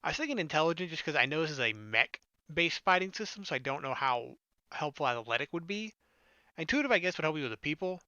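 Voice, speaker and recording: A man's voice: fairly high pitch, big pitch swings, fast, sharp tone, no room sound, lo-fi sound. A man hosting a casual let's-play, reading game text aloud and adding joking, sarcastic asides. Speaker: gaming video host. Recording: game music behind the voice.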